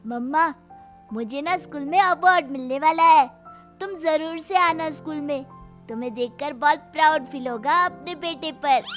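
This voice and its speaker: A woman playing a sweet, teasing young family member in an animated comedy story. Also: high-pitched, normal speed, soft background music, lightly acted. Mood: eager.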